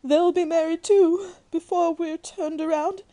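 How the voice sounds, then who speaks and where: A woman's voice: extremely high pitch, steady tone, measured pace, mellow. A woman playing a fretful, tearful middle-aged wife and doting mother in a melodramatic radio play. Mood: tearful wailing. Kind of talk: 1940s radio acting.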